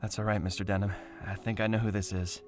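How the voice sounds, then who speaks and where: A fairly low-pitched young man, played by an actor, starstruck and eager to please. He speaks politely and earnestly in long admiring sentences, as in an audio drama, with faint music underneath. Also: flat delivery, quick pace, lightly acted. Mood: polite admiration. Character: eager, starstruck young actor gushing over his idol.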